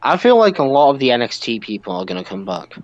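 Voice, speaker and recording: A man's voice: medium pitch, very animated, quick pace, no echo, lo-fi sound. A man co-hosting a podcast, chatting casually in a group about wrestling. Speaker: podcast co-host.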